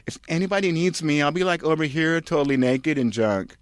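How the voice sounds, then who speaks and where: A bratty, depressed young actress played by a man in a satirical script reading. The voice is pushed higher, whiny and airheaded, with valley-girl phrasing and a dry, close sound. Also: animated, fairly quick, no room sound, lightly acted. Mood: blasé. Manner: whiny, filler-heavy valley-girl talk.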